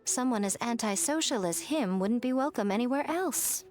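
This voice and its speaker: A woman's voice: a little high, expressive, normal speed, a little mellow, close to an everyday voice. A woman voicing a poised, sly and teasing adult shrine priestess in a video game.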